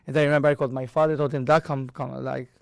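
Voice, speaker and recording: A man's voice: mid-range voice, little pitch movement, rapid-fire, creaky, no room sound, clean studio sound. A Maltese man with a Maltese accent and non-native English, casually telling stories and acting out dialogue and impacts. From Malta. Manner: acts out dialogue and impact sounds. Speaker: boxer and boxing trainer, interview guest. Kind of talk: casual animated storytelling.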